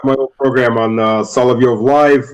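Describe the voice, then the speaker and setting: A middle-aged man, a former army officer, talking as an interview guest in a halting way with frequent restarts. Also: medium pitch, fairly even intonation. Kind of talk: casual interview chat.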